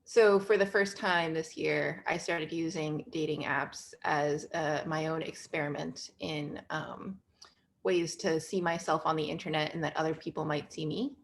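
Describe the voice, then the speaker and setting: A woman's voice: sits low, steady tone, unhurried, creaky, a little hiss or hum. A young woman, a student, talking casually as a participant in a video-call discussion from her kitchen.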